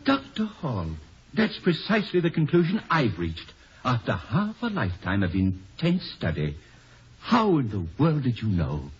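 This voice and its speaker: An actor playing a self-important, excitable male scholar in a 1950s radio comedy-drama, pompous, emphatic and theatrical. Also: mid-range voice, measured pace. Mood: amazed, eager. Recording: noisy, dull 1950 radio recording.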